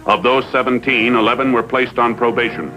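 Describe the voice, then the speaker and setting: A man playing a solemn official American announcer, reading in formal, measured 1950s TV style. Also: mid-range voice, fairly even intonation, sharp tone, soft background music, natural voice, poor audio.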